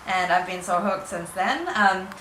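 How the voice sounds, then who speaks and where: A woman's voice: mid-range voice, measured pace. Young NZ woman, gamer, chatty, echoey room with noise behind. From New Zealand. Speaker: pro gamer. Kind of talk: casual interview.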